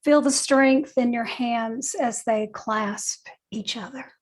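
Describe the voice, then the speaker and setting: An older woman leading a guided meditation, speaking gently and leaving long pauses between short phrases. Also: a little high, fairly even intonation. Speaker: guided meditation teacher, nurse and educator.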